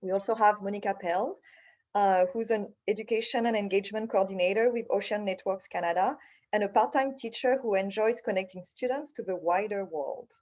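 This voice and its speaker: A woman with a French accent hosts an online session in a medium-pitched voice. Her delivery is a little halting, and the call audio sounds slightly muffled. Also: a little mellow. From France. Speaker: session facilitator, program manager. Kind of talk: welcoming introduction to an online session. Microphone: video call.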